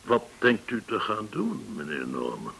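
Shrewd formal gentleman informant in a radio play, deep bright voice that swings about, hissy old recording. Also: wildly swooping pitch, creaky, husky, full character voice. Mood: resolute. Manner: formal, measured, deliberate explaining. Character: well-connected, courteous, shrewd gentleman informant in Hong Kong. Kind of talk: Dutch radio drama acting.

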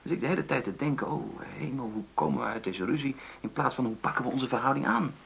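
An actor in a radio drama playing an earnest, conciliatory middle-aged husband who stammers and searches for words in a creaky voice. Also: male voice, medium pitch, lively intonation, fast, fairly bright tone, a little hiss or hum. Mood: earnest, searching. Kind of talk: naturalistic radio drama dialogue.

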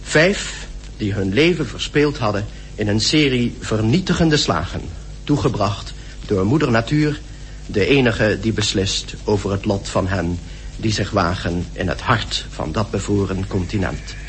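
An actor playing a weary British polar expedition member who reflects on the journey in a measured radio drama monologue. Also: male voice, fairly low pitch, slight background noise, close to an everyday voice. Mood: somber.

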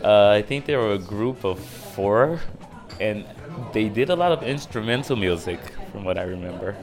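A young man from Israel chatting casually as an interview guest, speaking in a hesitant, reflective, halting way. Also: fairly low pitch, animated. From Dimona, Israel. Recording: music playing behind the voice.

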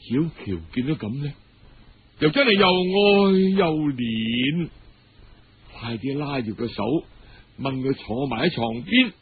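A man with a Cantonese accent telling a story as a dramatic, husky-voiced narrator. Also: a little high, slight background noise. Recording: dull lo-fi radio-style recording.

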